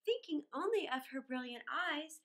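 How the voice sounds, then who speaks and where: A middle-aged woman reading a poem aloud for children as a storytime host, theatrically, with dramatic pauses. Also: high-pitched, animated, fairly bright tone, slight background noise. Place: small indoor room with plain wall.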